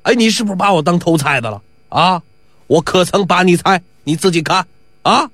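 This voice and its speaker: A man voicing a shameless, scheming ruffian in a storytelling piece, with a cracked bawling voice and drawn-out, indignant whining. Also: sits high, animated, fairly bright tone, no echo. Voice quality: cracked, broken-gong bawling voice. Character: shameless scheming male ruffian thief, theatrically wailing and bullying.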